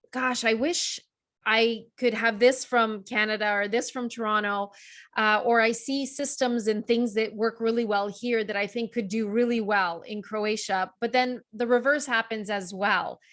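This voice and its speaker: A woman's voice: on the high side, fairly even intonation, normal speed, fairly bright tone. A woman hosting a casual interview, asking long, reflective questions in a friendly way. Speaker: interview host.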